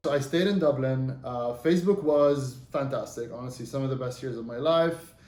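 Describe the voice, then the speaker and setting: A man in his thirties from Lebanon, a native Arabic speaker, talks through his career as an interview guest. His voice sits at an ordinary middle pitch for a man. He speaks loosely and conversationally, with frequent fillers and restarts, and the room adds a noticeable echo. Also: normal speed, a little mellow, faint music behind. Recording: roomy echo.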